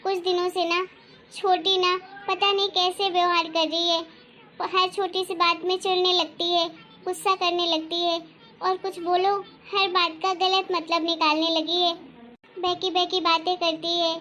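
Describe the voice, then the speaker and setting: A woman acts out a fretful young housewife from a cartoon family story. Her voice is extremely high and bright, with little melodic swing. She sounds plaintive and complaining, over faint background noise. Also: little pitch movement, unhurried, full character voice. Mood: worried, upset. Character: worried, soft-hearted young housewife, mother and elder sister-in-law. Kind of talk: cartoon family drama voice acting.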